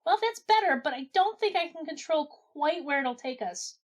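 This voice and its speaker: A woman playing a brisk, wry gnome adventurer in a comedy audio drama. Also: sits high, expressive.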